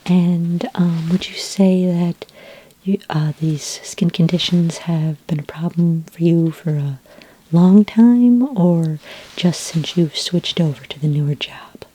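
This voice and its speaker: A woman plays a reassuring female skincare consultant in a sci-fi ASMR roleplay. Her voice is low, dark and breathy, often slipping toward a whisper. She speaks gently and politely, with small hesitations, as if patiently tending to a customer. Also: fairly even intonation, takes it slowly, poor audio. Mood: attentive, polite. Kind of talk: soft-spoken ASMR roleplay.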